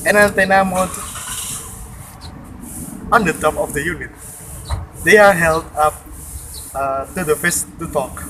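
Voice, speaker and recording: A man's voice: on the high side, measured pace, pauses often, pushed and bright. A young man giving a memorized explanatory presentation as a student, recorded outdoors. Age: young adult. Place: outdoors in a leafy campus yard. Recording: clearly noisy outdoor recording.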